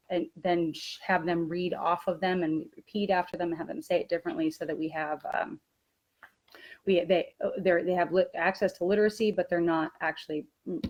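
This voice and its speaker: A woman giving an informal instructional webinar as a teacher trainer, explaining things in a halting way. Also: lowish voice, a little mellow.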